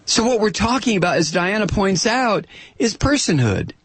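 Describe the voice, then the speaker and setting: An American man hosting a political talk show in an opinionated, sarcastic and indignant monologue, with dramatic emphasis and a gravelly, husky voice. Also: sing-song, normal speed, no echo. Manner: dramatic emphasis, swinging from low asides to shouts. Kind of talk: opinionated radio monologue, reading and commenting on listener mail and news.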